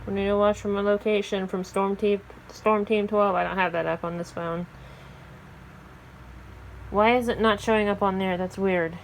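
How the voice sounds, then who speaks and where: A woman vlogger speaks in a casual, off-the-cuff way at an average pitch. She narrates what she is doing as she goes, recorded with a faint layer of noise behind her. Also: fairly even intonation, normal speed, low-quality recording. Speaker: amateur weather vlogger.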